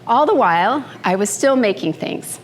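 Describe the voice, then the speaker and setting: A woman in her fifties from the Philippines, giving a personal talk to a live audience in an unhurried, conversational way with fillers and asides. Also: a little high, expressive, a little hiss or hum. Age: 50s. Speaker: speaker at a morning talk. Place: event room before an audience. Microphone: handheld microphone.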